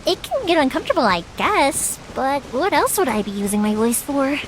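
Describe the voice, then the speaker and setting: A woman's voice: sits high, sing-song, brisk, slight background noise. A woman playing a cheerful, talkative young fireworks maker in an anime-style video game, rambling along enthusiastically. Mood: easygoing. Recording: music under the dialogue. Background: music.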